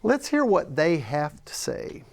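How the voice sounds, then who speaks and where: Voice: mid-range voice, very animated, measured pace, vocal fry. Host of a panel discussion. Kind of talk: moderating a table read feedback discussion.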